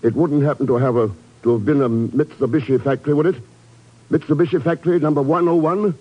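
An actor playing a cool, wry secret agent in a 1950s radio drama, dry, terse and unflappable. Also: male voice, a little high, steady tone, quick pace, husky. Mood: probing, wary. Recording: dull old 1950s radio recording.

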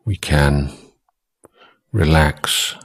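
A man teaching guided meditation as a monk, speaking in short, deliberate phrases with long pauses between them. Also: very deep voice.

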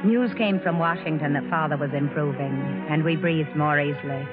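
A woman's voice: deep voice, moderate pace, over loud music, lightly acted. An actress playing an impetuous, blunt, devoted teenage girl in a 1940s American radio drama. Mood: reflective narration. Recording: muffled, tinny old radio recording.

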